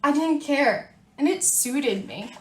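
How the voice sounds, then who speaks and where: A woman's voice: sits high, lively intonation, roomy sound, a little hiss or hum. Woman voicing a dramatic, self-declared bad little seed. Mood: brooding. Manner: dramatic, drawn-out confessional storytelling.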